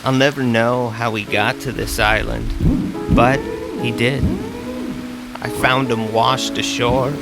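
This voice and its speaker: An actor giving a slow, deadpan inner monologue as a fussy island mystic recalling the past. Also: male voice, medium pitch, gravelly. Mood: reminiscing. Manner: slow, deadpan, a little disgusted. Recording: loud music under the voice.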